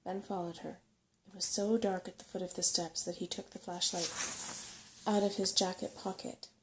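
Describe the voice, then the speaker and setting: A soft-spoken woman narrating a bedtime story in an ASMR style. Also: fairly low pitch, little pitch movement, moderate pace, a little hiss or hum, low-quality recording.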